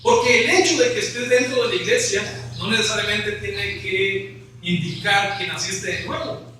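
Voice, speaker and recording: A man's voice: high voice, steady tone, normal speed, sharp tone, very echoey, far from the mic, slight background noise, poor audio. A man preaching an evangelical sermon as pastor, rising to emphatic questions.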